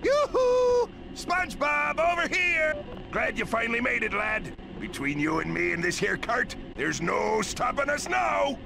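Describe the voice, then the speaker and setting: A man voicing a blustery, money-minded older crab boss in a cartoon video game, gravelly, hearty and bossy, with sailor-like talk. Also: very high-pitched, big pitch swings, measured pace, noisy background, heavily acted. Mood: excited, scheming.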